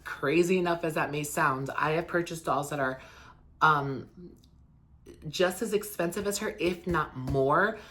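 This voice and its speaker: A man who is sick and hoarse, talking through a casual unboxing and review video as the channel's host, rambling eagerly with lots of ums and likes. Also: unhurried, bright, forceful tone, slight background noise. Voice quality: lower than usual because of the illness. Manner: eager and earnest about the doll. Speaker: doll collector and channel host.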